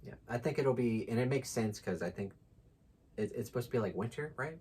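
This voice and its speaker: A man's voice: medium pitch, expressive, fairly quick, dark tone, slight background noise. A man co-hosting a book channel in casual, unscripted chat, easygoing and joking with frequent asides.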